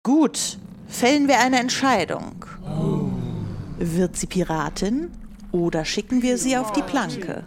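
Woman playing slow, commanding pirate captain Sam. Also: a little high, lively intonation, over a noisy crowd.

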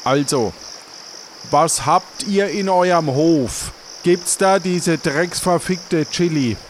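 A man's voice: a little high, slow, a little hiss or hum. A man playing and narrating in a casual improvised adventure audio play, thinking aloud and repeating himself.

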